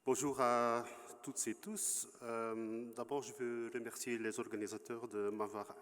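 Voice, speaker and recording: A Belgian man with a Flemish accent delivers an academic lecture, often pausing and filling gaps as he searches for words. His voice sits at a middle pitch. The sound carries a long reverb from a large hall. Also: level tone, fairly bright tone, slight background noise. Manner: halting, searching for words, many fillers. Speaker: conference speaker.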